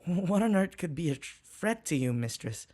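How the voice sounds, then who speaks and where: A man's voice: fairly high pitch, big pitch swings, moderate pace, darkish tone. A man playing a flustered, submissive young human servant in an audio roleplay, stammering, hesitant and deferential. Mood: nervous, puzzled. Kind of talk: audio roleplay acting addressed to the listener.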